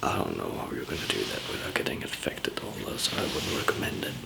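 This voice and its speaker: A man doing a whispered ASMR roleplay as a calm apocalypse survivor, murmuring in a hesitant, halting way. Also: a bass voice, creaky, heavy background noise. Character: calm zombie apocalypse survivor handing out supplies.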